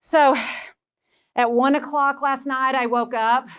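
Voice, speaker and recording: Texan woman, keynote speaker, high voice, energetic and personal with the room. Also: slow, clear, resonant tone. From Texas. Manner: energetic, personal, talks directly to the audience. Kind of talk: motivational presentation to a live audience.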